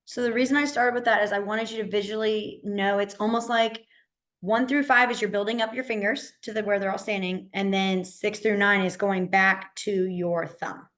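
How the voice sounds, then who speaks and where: A woman whose voice is hoarse and half gone. She teaches a beginner class online over a computer mic, chatty and encouraging, with plenty of fillers. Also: medium pitch, steady tone, moderate pace, fairly bright tone. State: hoarse, partly lost voice. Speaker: sign language teacher.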